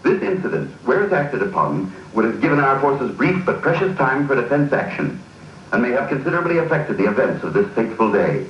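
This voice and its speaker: A man's voice: mid-range voice, sing-song, a little fast, reverberant. A man giving formal, solemn, dramatic scripted narration for a 1940s documentary. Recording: distant, muffled, noisy old film soundtrack.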